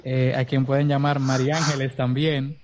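A man hosting a podcast interview in a conversational way, halting and repeating his phrases. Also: medium pitch, flat delivery, background noise. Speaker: podcast host.

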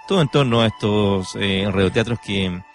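A man's voice: lowish voice, moderate pace. A man hosting a radio show in unscripted chat, hesitant and often searching for words. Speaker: radio host. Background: faint music.

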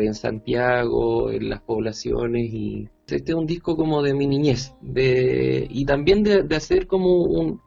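A Chilean man, a musician and music teacher, speaking thoughtfully in an interview with frequent pauses and restarts. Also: a little high, gravelly, darkish tone, lo-fi sound. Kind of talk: interview about his music.

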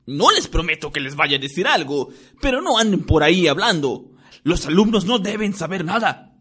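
A man reading a story, voicing a large, evasive gamekeeper who keeps hushing the children. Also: high-pitched, sing-song, normal speed, slightly rough, pitched above the performer's own voice, exaggerated voice. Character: big shifty gamekeeper, secretive and nervous.

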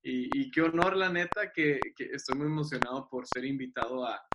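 A young man talks eagerly, laughing easily and doubling back on his words. His voice sits in a middle register. A loud steady hiss runs under the video-call audio. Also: medium pitch, brisk. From Mexico. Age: 20s. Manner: enthusiastic, laughs often, repeats words. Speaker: guest. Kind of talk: casual video-call chat.

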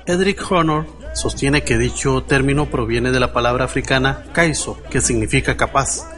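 Un hombre que lee una narración documental preparada para un programa de radio, con tono formal y pausado. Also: male voice, medium pitch, a bit bright. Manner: formal, measured reading. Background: music.

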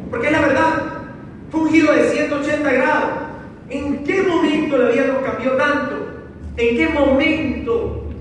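A man's voice: very high-pitched, steady tone, normal speed. Male motivational speaker, Venezuelan accent, emphatic and repetitive delivery, heard far from the mic in a reverberant hall. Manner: emphatic, repeats phrases for effect. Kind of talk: motivational speech to a live audience. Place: large hall with audience, crowd noise behind. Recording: echoey hall, distant mic, noisy crowd.

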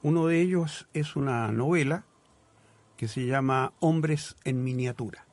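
Chilean man, halting and reflective, dry sound. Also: mid-range voice, darkish tone, no room sound. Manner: halting, searching for words, literary phrasing. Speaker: guest writer on a literary programme. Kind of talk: live radio interview, reflective conversation.

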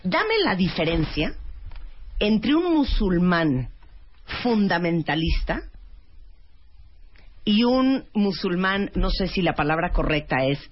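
A woman's voice: lowish voice, very animated, takes it slowly, long pauses, slightly rough. A woman hosting a radio interview, chatty and playful. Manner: chatty, playful, lots of backchannel. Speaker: radio host. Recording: dull lo-fi radio sound.

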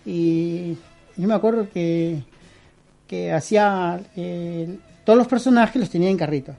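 A man's voice: high-pitched, unhurried, gravelly, mellow, faint music behind. A middle-aged man from Peru with a Peruvian Spanish accent, a guest chatting in a casual interview, rambling with many fillers and restarts. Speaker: guest, costume and prop maker.

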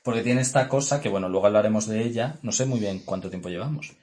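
A man's voice: fairly low pitch, quick pace, darkish tone. Man, young adult, from Extremadura, podcast co-host, chatty, laughing, asks the questions. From Extremadura, Spain. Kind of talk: casual film chat.

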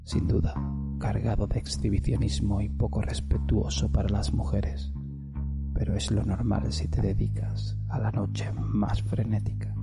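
Deep, gravelly male narrator over music. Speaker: podcast host and narrator. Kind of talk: dramatic scripted story narration. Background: guitar and bass music.

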